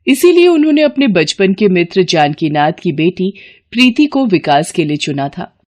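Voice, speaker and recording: A woman's voice: fairly low pitch, sing-song, moderate pace, a little mellow, a little hiss or hum. A woman storyteller reading scripted narration for a moral family tale.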